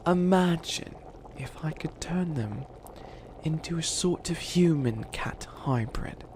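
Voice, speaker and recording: A man's voice: a little high, lively intonation, slow, background noise. A man playing a bumbling, dramatic bunny witch who fancies himself evil, rambling and scheming theatrically in a comedic ASMR roleplay. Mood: conspiratorial plotting.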